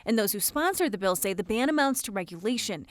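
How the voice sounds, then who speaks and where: A woman's voice: medium pitch, animated, fast. An American woman reporter narrating a scripted news package.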